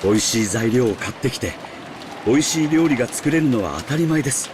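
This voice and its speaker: Actor as a quick-talking, proud mansion cook. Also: male voice, medium pitch, lively intonation, close to an everyday voice. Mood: proud, reassuring. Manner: polite, self-assured. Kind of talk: Japanese visual novel voice acting. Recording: rain noise behind dialogue. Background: rain.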